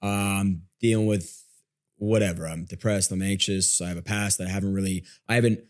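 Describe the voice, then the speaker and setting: A man with a fairly low voice talks at a quick clip. His delivery is informal and slangy, like someone thinking aloud in a casual podcast conversation. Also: steady tone, darkish tone.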